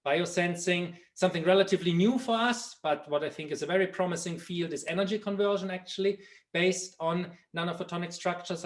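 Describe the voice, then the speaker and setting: A middle-aged man from Germany with a German accent, giving an academic seminar lecture with frequent fillers and restarts. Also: on the high side. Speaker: professor giving a seminar talk.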